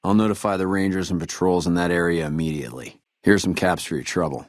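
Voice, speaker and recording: An actor playing a weary, dutiful army trooper in a video game, speaking in a measured, earnest soldierly way. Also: male voice, deep voice, dry recording, natural voice. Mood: grave, businesslike. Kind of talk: video game dialogue acting.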